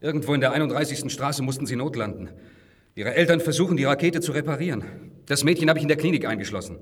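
An actor playing a sober, principled member of a hidden group in a German radio play, earnest and restrained. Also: male voice, medium pitch, expressive, fast talker, husky. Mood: reporting, tense.

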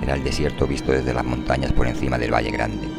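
A man's voice: a bass voice, level tone, fast, gravelly. A man giving a dramatized literary narration for radio. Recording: prominent music bed under the voice. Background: loud music.

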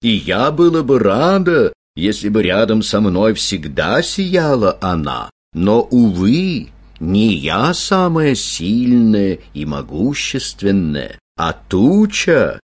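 A man as a majestic, slow-speaking Sun. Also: lowish voice, slightly rough, exaggerated voice. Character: the sun, majestic yet modest, regretful.

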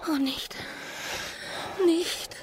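An actress playing a hesitant, anxious married woman in a literary radio drama, breathy and timid in manner. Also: very slow, noisy background. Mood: anxious resistance. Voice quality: breathy, whispery. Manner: timid, agitated.

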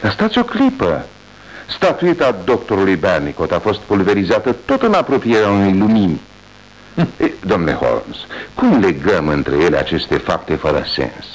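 An eager, baffled police inspector with a deep voice that swings wildly up and down. He talks emphatically, in the style of a 1980s radio play. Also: very animated, poor audio. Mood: puzzled. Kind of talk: 1980s radio drama acting.

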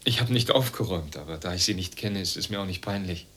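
An actor in a radio drama playing a guarded, wry, philosophical East German man with a husky voice and a dry, detached manner. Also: low voice, quick pace, a bit bright.

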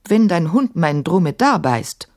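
A woman's voice: fairly low pitch, very animated, normal speed, darkish tone, no echo, clean studio sound. A woman voicing a proud, scornful young male caliph in a storybook, with imperious, emphatic delivery. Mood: haughty, stern.

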